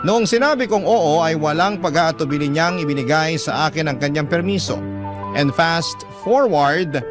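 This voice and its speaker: Male Filipino narrator, fairly high voice, scripted first-person drama storytelling over clear music. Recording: music behind the voice.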